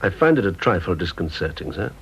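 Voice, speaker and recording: An actor playing a stiff, strict British security supervisor in a radio drama, formal, clipped and curt. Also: male voice, low-pitched, sing-song, brisk, gravelly, slightly rough, exaggerated voice. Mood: guarded, formal. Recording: dull old radio recording.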